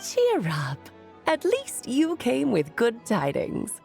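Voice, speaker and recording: A woman's voice: fairly high pitch, wildly swooping pitch, takes it slowly, gravelly, a little mellow, faint music behind, heavily acted. Lilting, refined witch. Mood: gracious, reflective. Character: elegant storytelling witch hosting a gathering, gracious.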